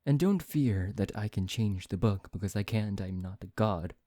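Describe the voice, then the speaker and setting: A man playing a suave, proud vampire who teases one listener in an intimate, halting ASMR roleplay. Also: lowish voice, animated, warm tone, no room sound, studio quality, close to an everyday voice. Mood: calm, explanatory. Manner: teasing, haughty, halting, addressing one listener. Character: suave, teasing male vampire, proud and quick-tempered.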